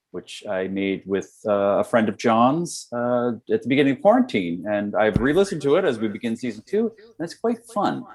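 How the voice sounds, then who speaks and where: A man with a mid-pitched, very animated voice takes part in a game show. His talk is casual and joking, with big swings in pitch.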